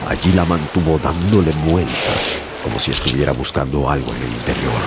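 A man narrating a seafaring story as a sailor in a radio drama, measured and storytelling. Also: rumbling low, steady tone, vocal fry, over loud music. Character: man, sailor recounting a whale hunt.

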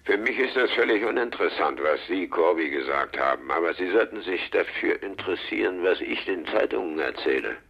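An actor playing a self-assured, menacing blackmailer, calm and insistent, in a radio drama over the telephone. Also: male voice, a little fast, few pauses, full character voice. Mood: coolly threatening. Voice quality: gravelly, husky low voice. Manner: calm, insistent, coolly threatening. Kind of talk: 1980s German radio drama acting. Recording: muffled telephone line.

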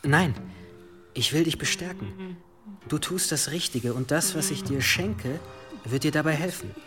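An actor playing a calm, modest medieval monk and inventor in a naturalistic radio drama, speaking in a measured, encouraging way. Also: male voice, fairly high pitch, over music, natural voice. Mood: knowing, encouraging.

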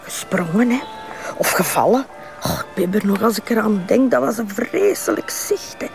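A woman's voice: medium pitch, animated, moderate pace, creaky, over music. A woman playing a chatty, slightly flustered tavern keeper with a Flemish accent in a detective drama. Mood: shaken. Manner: chatty, hesitant, explaining herself. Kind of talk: naturalistic detective drama dialogue.

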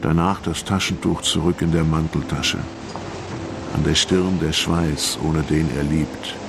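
Very deep, gravelly noir storyteller. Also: male voice, fairly even intonation, measured pace, heavily acted. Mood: somber, measured. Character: somber male storyteller of a noir crime tale. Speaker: radio play narrator. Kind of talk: literary radio play narration, poetic prose. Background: music behind the voice.

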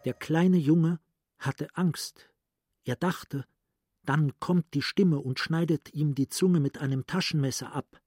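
A man's voice: a little high, takes it slowly, a little mellow, dry recording, soft background music. A male actor playing a cultured, introspective psychiatrist in a literary radio play. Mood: haunted recollection. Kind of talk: literary radio drama monologue.

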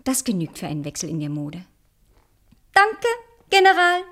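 A woman's voice: fairly low pitch, wildly swooping pitch, normal speed, fairly bright tone, heavily acted. An actress in a radio play fairy tale playing a vain, naive, childish young princess with a girlish, exclamatory way of talking. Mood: spoiled, bossy.

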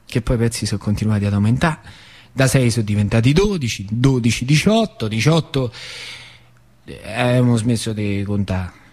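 An actor playing a plainspoken, wistful older factory worker with a central Italian, Lazio accent, in a theatrical monologue with colloquial repetitions. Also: male voice, mid-range voice, expressive, moderate pace, lightly acted. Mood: wistful, brooding. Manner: colloquial, repetitive, dramatic swings from emphatic to hushed. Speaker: stage actor.

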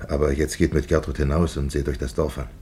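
A man's voice: very deep voice, flat delivery, fast, dark tone, a little hiss or hum. A man acting in a German radio play as a stern, solemn older village father, grave and terse in his commands. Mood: grave, commanding.

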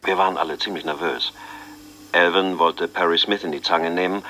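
A weary, determined detective. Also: male voice, low-pitched, steady tone, normal speed, bright, forceful tone, a little hiss or hum. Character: weary, determined middle-aged male detective.

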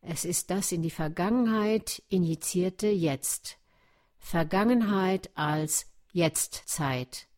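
Slow, lower-pitched woman narrator. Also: a little mellow. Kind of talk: scripted documentary narration.

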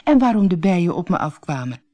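A woman voicing a proud, easily offended storybook woman in an exclamatory, expressive way. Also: lowish voice, fast talker. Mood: delighted.

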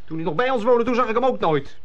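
A woman's voice: a little high, expressive, fast, lo-fi sound, close to an everyday voice. A woman playing a sullen, demanding adolescent son in a Dutch radio drama, insistent and whining in stiffly formal phrases. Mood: sullen, defiant.

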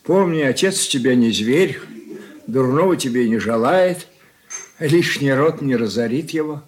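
Actor as a slow-spoken, stern old father. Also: medium pitch, lively intonation, natural voice. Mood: coaxing, earnest. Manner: blunt, admonishing. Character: stern, wounded old father, patriarch of a merchant family. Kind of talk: stage-style radio drama acting.

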